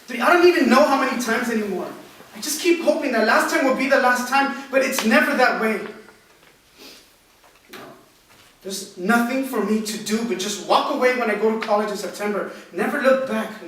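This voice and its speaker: Guy acting bitter resigned teen boy, really high voice, stage drama reading, super echoey and far from mic. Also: fairly bright tone. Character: bitter, resigned older teenage boy, abuse survivor. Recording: roomy echoing hall, distant mic, faint noise.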